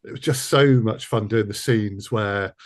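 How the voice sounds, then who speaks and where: A man interviewing in a casual, conversational way, measured and a little hesitant. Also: lowish voice. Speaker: producer, sound designer and interviewer.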